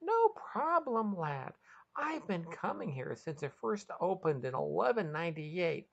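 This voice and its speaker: A woman's voice: on the low side, sing-song, measured pace, vocal fry, lo-fi sound, voice pushed up, full character voice. A woman voicing a mischievous, fun-loving male alien in a storybook reading, confident and chummy. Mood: jovial boasting.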